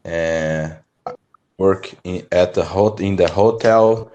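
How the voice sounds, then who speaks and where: Deep-voiced brazilian guy on a video call, slow and halting english, lots of restarts. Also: darkish tone, dull audio, a little hiss or hum. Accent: Brazilian Portuguese. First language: Portuguese. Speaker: English learner. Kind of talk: online language lesson conversation.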